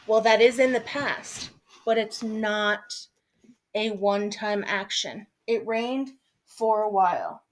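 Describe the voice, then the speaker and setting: Thirtysomething woman teaching a lesson, slow and chatty, lots of ums and little asides. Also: medium pitch, a little hiss or hum. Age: 30s. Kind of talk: recorded online lesson. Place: at home, seated at a desk.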